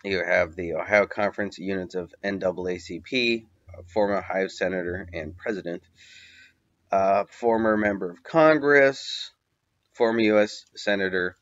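A man narrating a video essay in an unscripted, halting way, with many fillers and long spoken lists. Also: deep voice, dry recording, muffled recording. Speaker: commentator.

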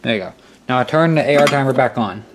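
A man's voice: mid-range voice, quick pace. Male how-to host, mid-range voice, practical and instructional, recorded on a handheld camera in a workshop with some noise. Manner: instructional, step-by-step. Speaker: host of a hobby electronics how-to video. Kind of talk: casual hands-on tutorial narration. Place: cluttered indoor workshop or utility room. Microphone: handheld camera microphone. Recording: clicks and clear background noise, handheld camera.

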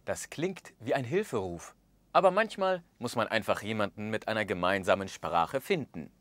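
A man in his thirties presenting a language course in a studio, speaking with expressive gestures and acting out the example phrases. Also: lowish voice, normal speed, no room sound. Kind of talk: scripted lesson narration. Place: studio with orange backdrop.

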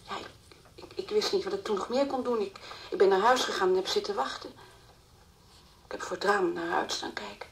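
An actress playing the anxious, distraught mother of a missing girl in a Dutch radio play, halting and repeating her words. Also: medium pitch, close to an everyday voice. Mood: anxious, recounting. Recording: old radio play recording, faint hiss.

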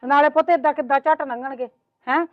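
A woman's voice: high-pitched, fairly even intonation, fast talker, dry recording, lightly acted. A woman playing a proud, complaining mother-in-law, sarcastic and nagging. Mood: grumbling. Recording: muffled lo-fi, dry.

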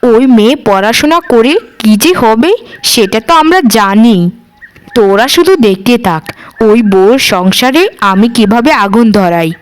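A woman's voice: on the high side, expressive, moderate pace, clean tone, close to an everyday voice. A woman playing a spiteful, bossy ringleader of village gossips in a cartoon. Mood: nosy, spiteful. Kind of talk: cartoon dialogue.

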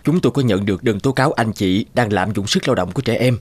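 A man's voice: fairly low pitch, slight background noise, natural voice. Man playing a formal, firm social worker. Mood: businesslike. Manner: formal, measured, official. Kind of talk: animated cartoon dubbing.

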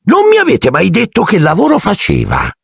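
High, shrill drunken aunt, scornful bully, gravelly edge, audiobook figure. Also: male voice, wildly swooping pitch, moderate pace, dry recording, clean studio sound, heavily acted. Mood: sneering.

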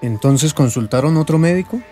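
Actor as measured male hospital doctor. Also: a little high, lively intonation, dark tone, faint music behind, close to an everyday voice. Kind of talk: radio drama acting.

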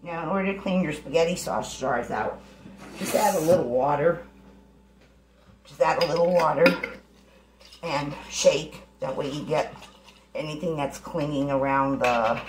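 A woman's voice: deep voice, background noise. A middle-aged woman chatting her way through a recipe in her home kitchen, giving homey step-by-step instructions. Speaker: home cook, channel host. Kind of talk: casual cooking demonstration. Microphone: camera sitting on the counter. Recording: echoey kitchen, faint clatter of pots.

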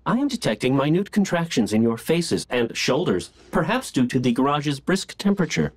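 A man playing a gentle, literal-minded healthcare robot in a video game, speaking in precise, formal clinical phrases. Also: a little high, big pitch swings, moderate pace. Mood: calm, clinical. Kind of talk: video game cutscene acting.

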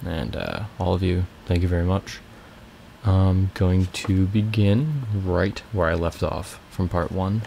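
A young man with a raspy voice, reading a fantasy novel aloud as a home audiobook from his bedroom. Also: deep voice, a little hiss or hum. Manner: slow, casual intro then steady reading. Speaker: amateur audiobook reader. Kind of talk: audiobook narration.

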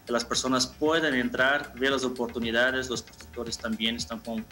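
A man in his thirties answering interview questions informally, a little haltingly and with repeated phrases, from a room over a video call. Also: mid-range voice, level tone, pushed and bright, faint music behind. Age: 30s. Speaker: marketing manager, interview guest.

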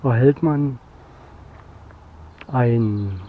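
A middle-aged man thinks aloud in a casual, unhurried vlog outdoors. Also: mid-range voice, narrow, tinny audio, slight background noise. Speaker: geocaching vlogger. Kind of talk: casual musing vlog narration. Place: outdoors on forest paths, later inside a parked van.

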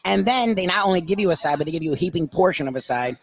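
A man's voice: high-pitched, lively intonation, racing. A middle-aged man co-hosting in casual conversation at a cafe table on a headset mic. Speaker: co-host. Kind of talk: casual chat. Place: busy cafe table, indoors.

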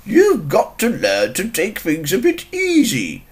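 A man's voice: sits high, huge pitch swings, slow pace, exaggerated voice. A man voicing a genial, eccentric older earl from a children's cartoon, kindly and encouraging.

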